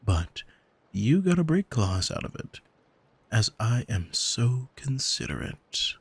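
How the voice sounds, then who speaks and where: A man playing an arrogant demon in an ASMR audio drama, smug and teasing, speaking deliberately and intimately to the listener with a husky voice, close on the microphone. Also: mid-range voice, big pitch swings, heavily acted. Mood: smug, controlling. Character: arrogant male demon who owns a soul, smug, taunting. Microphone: close studio microphone, dry.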